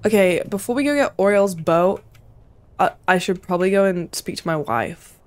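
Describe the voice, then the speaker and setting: A woman's voice: on the low side, moderate pace, faint music behind. A young woman giving casual gameplay commentary, playful and often muttering to herself. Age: young adult. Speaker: game streamer. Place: small room at home. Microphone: desk mic close up, headset worn.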